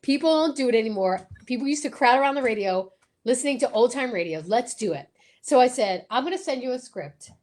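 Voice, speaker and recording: A woman co-hosting a live show with excited, casual banter, gushing and theatrical in manner. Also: fairly high pitch, a little fast. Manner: theatrical, gushing, sing-song exclamations.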